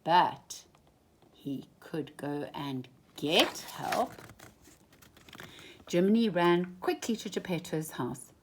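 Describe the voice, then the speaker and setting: Slow, animated woman reading a storybook. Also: fairly low pitch, slight background noise. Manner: deliberate, dramatic emphasis. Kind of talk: expressive children's storybook reading.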